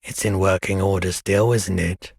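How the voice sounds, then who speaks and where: An actor playing a laid-back, world-weary pawnshop owner in a video game, husky, soft-spoken and wistful, with an unhurried, low-key delivery. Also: male voice, fairly even intonation, dry recording, clean studio sound, heavily acted. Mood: laid-back, obliging. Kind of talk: video game character dialogue.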